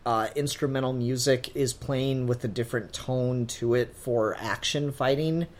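Male podcast co-host, mid-pitched, enthusiastic and profane in loose, casual discussion. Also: measured pace. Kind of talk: casual review chat.

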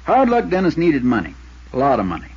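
A man playing a folksy, talkative Arizona insurance man with a drawl and a husky voice, in 1950s radio drama style. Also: a little high, huge pitch swings, quick pace, darkish tone, heavily acted. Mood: insistent, explanatory. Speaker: supporting actor. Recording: dull 1950s radio recording.

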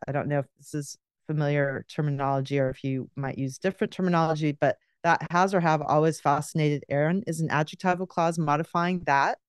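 A woman's voice: low voice, smooth, no room sound, clean studio sound. A middle-aged woman on a video call, patiently explaining a grammar point step by step as a guest expert.

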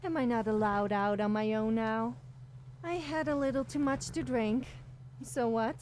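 Fantasy game follower, played by a woman: scatterbrained, boastful young troublemaker, fairly high voice, theatrical sass. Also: fairly even intonation, normal speed, darkish tone, a little hiss or hum, natural voice. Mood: breezy, boastful. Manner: sassy, theatrical, self-important. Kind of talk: scripted fantasy game character dialogue.

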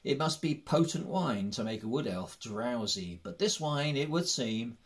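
A man reading a fantasy story aloud as scripted narration. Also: mid-range voice. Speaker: audiobook reader.

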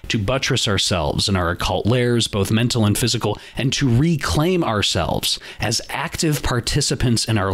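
A man's voice: on the low side, a bit bright. A man hosting a podcast, delivering a scripted monologue in an earnest, emphatic way. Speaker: podcast host.

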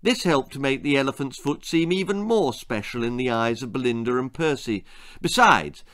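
A man in his sixties with an English accent, narrating a children's story in a theatrical, expressive manner. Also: mid-range voice, moderate pace. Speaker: author reading own children's story. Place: small book-lined room indoors.

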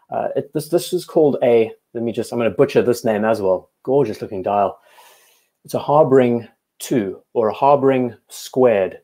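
Mellow-voiced man hosting a watch stream. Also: medium pitch. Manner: chatty, thinking aloud, self-correcting. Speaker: watch show host. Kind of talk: live-stream commentary.

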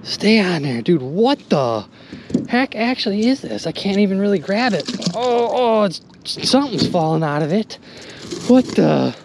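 Young male vlogger on a river boat, lively swinging pitch, excitable outdoor chatter, faint noise behind. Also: unhurried, creaky. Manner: animated, exclaiming, chatty with viewers. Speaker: magnet fishing vlogger. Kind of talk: excited casual narration to viewers.

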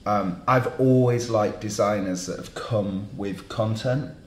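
A man with a lowish voice chairs a fashion panel in a dark studio, circling his points with repeated words and false starts. The room rings with echo and a faint hiss sits under him. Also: unhurried. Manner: rambling, many restarts. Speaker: panel host, fashion journalist. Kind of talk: panel discussion. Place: dark studio around a table.

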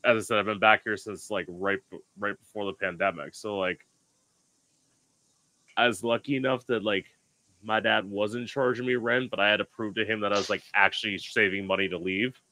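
Bright-voiced chatty podcast host man. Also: on the low side, fairly even intonation, normal speed, no room sound, low-quality recording. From South Florida. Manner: rambling, lots of fillers and laughs. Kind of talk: casual video-call chat. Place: home room with lamps.

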